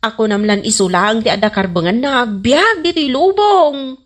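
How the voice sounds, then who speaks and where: A woman's voice: a little high, moderate pace, natural voice. A woman playing a frank, teasing young snack seller in an Ilocano comedy radio drama, with an Ilocano accent.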